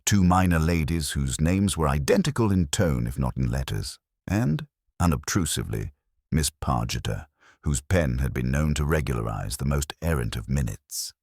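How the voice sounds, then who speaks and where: A man with a very deep voice narrates a cosy mystery story. His delivery is measured and wryly understated, yet the intonation rises and falls with plenty of movement. The sound is dry and free of room echo. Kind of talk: scripted literary audiobook narration of a cosy mystery.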